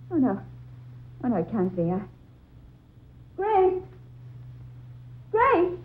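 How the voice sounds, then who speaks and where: An actress playing an anxious woman in an old-time radio drama, speaking in a theatrical, exclamatory way. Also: on the high side. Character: anxious woman recounting a ghostly rescue. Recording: muffled tinny old radio recording, faint noise.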